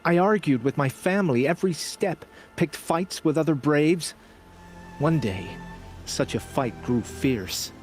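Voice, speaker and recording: Humble, slow-speaking outcast tribesman. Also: fairly high pitch, lively intonation, faint music behind. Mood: remorseful. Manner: earnest, halting, solemn. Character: humble, remorseful outcast tribesman seeking shelter. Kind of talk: video game character acting.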